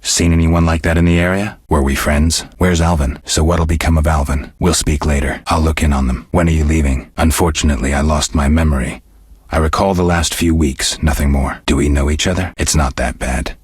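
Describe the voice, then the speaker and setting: A man playing a stoic, world-weary middle-aged monster hunter in a video game, speaking in a gravelly, husky voice with dry, laconic restraint. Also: very deep voice, fairly even intonation, a little fast, no room sound, full character voice. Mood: dry, calm. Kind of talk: scripted video game character dialogue.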